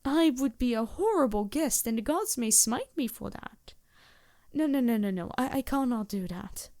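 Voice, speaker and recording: An actress plays a polite vampire woman passing as a humble traveling merchant. Her voice sits a bit high and comes through very dry, with no room sound. She speaks in an anxious, hesitant way, stumbling over words and apologizing as in an intimate fantasy audio drama. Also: expressive, moderate pace, dark tone, no echo. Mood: anxious begging. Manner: hesitant, stammering, courteous, apologetic. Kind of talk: fantasy roleplay audio drama, addressing the listener.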